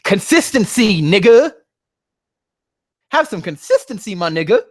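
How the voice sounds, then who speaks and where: A man's voice: high voice, big pitch swings, a little slow, no room sound, studio quality. A man hosting a sarcastic reaction reading of fan fiction, with a profane, mocking manner prone to exasperation. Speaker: host.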